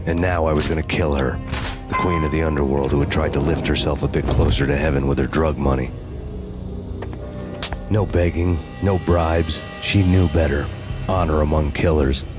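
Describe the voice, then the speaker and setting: An actor voicing a bitter, hardboiled male ex-cop, narrating in a brooding, deadpan noir voice-over. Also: very deep voice, moderate pace, over music. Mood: grim.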